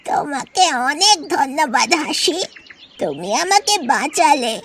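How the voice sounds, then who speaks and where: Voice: high voice, sing-song, measured pace, fairly bright tone, a little hiss or hum, full character voice. A performer voicing a sly, lazy female crow in a cartoon story, plaintive and wheedling, with caws. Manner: plaintive, wheedling, breaks into cawing.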